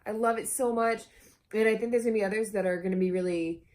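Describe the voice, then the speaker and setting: A woman's voice: mid-range voice, fast, darkish tone. A woman chatting casually in a supportive, reassuring way. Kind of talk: casual chat.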